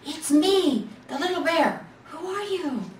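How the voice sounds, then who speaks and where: A woman's voice: very high voice, expressive, takes it slowly, pitched above the performer's own voice, full character voice. A small, naive young toy bear from a storybook, voiced by a woman, speaks in a raised, unsure, questioning voice. It is heard from a little distance in a roomy, echoing space. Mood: timid, hopeful. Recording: roomy, noisy, distant library mic.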